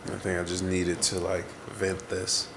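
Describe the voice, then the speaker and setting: Vlogger, young man, low gravelly voice, stuffy nose, rambling and introspective. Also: monotone, measured pace, fairly bright tone, a little hiss or hum. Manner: rambling, introspective, searching for words. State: congested. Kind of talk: casual reflective venting to camera.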